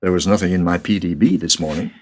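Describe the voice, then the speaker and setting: An actor playing a pragmatic, questioning American President in a radio play. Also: male voice, low-pitched, fairly even intonation, fast talker, a little mellow. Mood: probing, concerned. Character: male US President, pragmatic, questioning, politically calculating.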